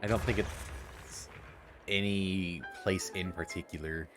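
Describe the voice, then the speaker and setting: A man's voice: deep voice, steady tone, takes it slowly, over music. A man co-hosting a game stream, chatting casually and teasing the player with frequent laughs. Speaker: co-host watching the stream. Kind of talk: casual commentary.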